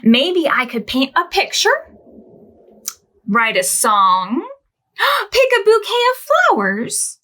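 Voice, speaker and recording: A cheerful, imaginative little girl voiced by a woman reading a storybook aloud chirps and exclaims in a high voice that leaps up and down. Her delivery is slow and deliberate, as in a children's picture book. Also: big pitch swings, full character voice. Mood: eager. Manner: bright, eager, sing-song exclamations.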